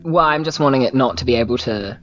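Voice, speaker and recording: A man's voice: mid-range voice, quick pace, fairly bright tone, highs cut off, faint music behind. A man with a New Zealand accent chatting casually as a player in a tabletop game, tentative and thinking aloud.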